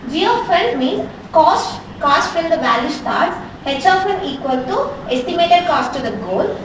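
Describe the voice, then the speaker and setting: A young woman student with an Indian accent, reciting a prepared presentation in a halting way. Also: sits high. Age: young adult. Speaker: student presenter and quiz asker. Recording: very echoey, noisy, distant mic.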